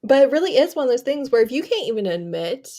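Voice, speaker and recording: A woman's voice: sits high, very animated, quick pace, mellow. A woman co-hosting a casual chat, giving her opinions in an emphatic, informal way. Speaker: book vlogger and co-host.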